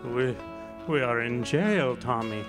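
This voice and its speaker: An actor playing a meek Sicilian immigrant farmer in a radio drama, speaking deferential broken English with an Italian accent. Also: male voice, mid-range voice, slow, natural voice. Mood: anxious, aggrieved. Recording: live amphitheater stage, music behind.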